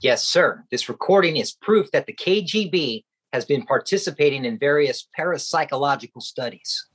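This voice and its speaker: An actor playing an earnest, respectful American intelligence agent with a husky voice in a radio play. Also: male voice, a little high, animated, moderate pace, fairly bright tone. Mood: earnest, formal. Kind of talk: Cold War radio play acting.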